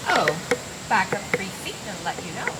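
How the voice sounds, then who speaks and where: A woman's voice: medium pitch, sing-song, normal speed, natural voice. A woman playing a sultry, cool and dangerous gangster's moll in a radio detective drama, sly and flirtatious. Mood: coy, flirtatious. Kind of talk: mid-century radio femme fatale acting. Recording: very noisy old radio recording.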